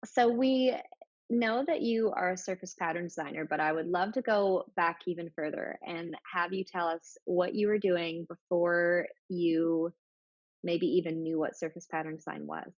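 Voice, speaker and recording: A woman's voice: on the low side, steady tone, normal speed, dry recording. A woman hosting an interview in a casual, encouraging way, full of compliments for her guest. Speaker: interview host, teacher. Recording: dry, dull lo-fi sound.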